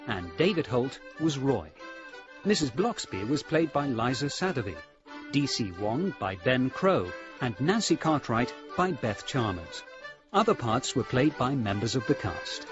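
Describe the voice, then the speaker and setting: Animated man announcing over music. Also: medium pitch, a little slow. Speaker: credits announcer. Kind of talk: scripted radio credits. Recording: dull radio recording over music.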